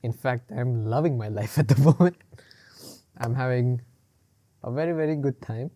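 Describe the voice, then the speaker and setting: Male podcast co-host, mid-pitched and mellow, loose rambling chat full of fillers and laughs. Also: normal speed. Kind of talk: casual chat.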